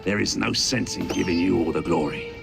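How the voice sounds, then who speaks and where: An actor playing a flamboyant, villainous gang boss in a video game, theatrical and grandiose, with a mock-affectionate manner. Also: male voice, sits low, brisk, vocal fry, over loud music. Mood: gleeful showmanship. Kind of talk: video game cutscene acting.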